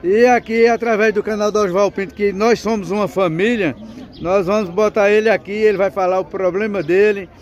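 A man's voice: high-pitched, steady tone, a little fast, lo-fi sound. A man filming a walk-around of an outdoor cattle market, chatting casually and calling out greetings and prices. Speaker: vlogger filming a cattle fair. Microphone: phone camera held by the speaker. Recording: outdoor crowd noise. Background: crowd chatter and cattle.

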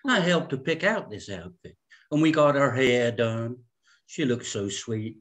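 A man acts out a fussy society woman of fifty in a table read. The delivery is genteel and condescending, with drawn-out phrases and false sweetness. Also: mid-range voice, lively intonation, fairly bright tone. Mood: smugly superior. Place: indoor room at home, on a video call.

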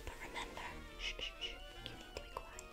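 A young woman playing a sweetly menacing female circus clown in an ASMR roleplay, coaxing and teasing in a breathy whisper. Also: fairly quick, natural voice. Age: young adult. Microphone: close ASMR microphone. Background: music.

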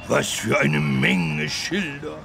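Gravelly, excitable cartoon elephant. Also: male voice, medium pitch, slow, a little hiss or hum, heavily acted. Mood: helpful, amazed. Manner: exuberant, childlike. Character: big good-natured talking cartoon elephant, childlike and cheerful. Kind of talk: children's educational game acting.

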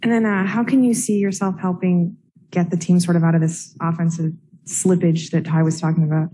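Husky-voiced female reporter. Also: on the low side, flat delivery, fairly quick, warm tone, poor audio. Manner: polite, apologetic questioning. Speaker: sports reporter.

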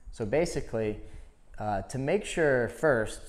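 A man's voice: medium pitch, animated, unhurried. A man demonstrating a product in a conversational, step-by-step instructional style. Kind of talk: hands-on instructional explanation.

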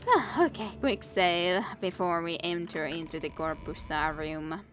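A woman's voice: lowish voice, a little slow, a bit bright, faint music behind. A young woman streaming a role-playing game, chatting casually with viewers, laughing often and teasing them. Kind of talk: casual game stream chat, reading dialogue aloud.

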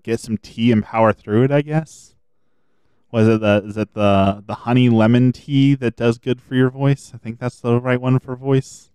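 A man streaming a video game, chatting casually and thinking aloud as he plays, his voice a little hoarse. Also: mid-range voice, steady tone, normal speed, dark tone, dry recording. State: slightly hoarse. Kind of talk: casual gameplay commentary.

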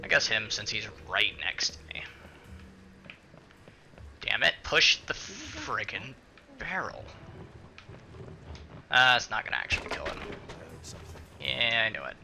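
A man's voice: lowish voice, wildly swooping pitch, slow pace, vocal fry, pauses often, pushed and bright, a little hiss or hum. A man giving casual let's-play commentary, muttering to himself and swearing mildly as he plays. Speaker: gaming video host.

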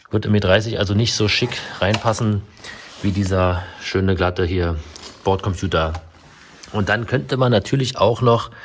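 Workshop host, man, low voice, relaxed how-to talk with a faint hiss behind it. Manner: casual, explanatory, with frequent fillers. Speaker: car mechanic and video host. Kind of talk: hands-on workshop walkthrough. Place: indoor car workshop beside a stripped car.

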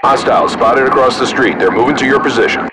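A man voicing a brisk, businesslike armored vehicle crewman in a video game, speaking clipped, authoritative procedural chatter through a radio filter. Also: medium pitch. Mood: firm, alert. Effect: military radio filter. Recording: distant radio sound under loud vehicle noise.

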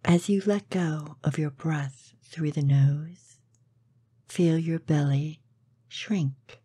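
A woman leads a guided meditation in a low, very mellow and dark voice. Her soft, breathy delivery is slow and soothing, with measured pauses between phrases. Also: fairly even intonation, dry recording.

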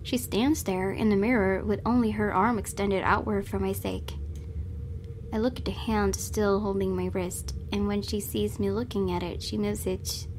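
Female let's play host, average pitch, dramatic read-aloud narration, slight noise behind the voice. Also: darkish tone.

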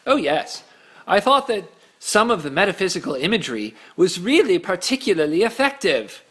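An actor playing a nervous, floundering human prisoner, stammering and bluffing his way through flattery in a sci-fi comedy. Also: high-pitched, normal speed, slightly rough, exaggerated voice. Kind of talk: sci-fi comedy audio drama.

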